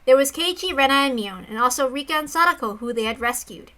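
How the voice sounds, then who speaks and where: A woman's voice: high voice, lively intonation, fast talker, pushed and bright. A woman reading a visual novel aloud, mixing dramatic narration with casual asides.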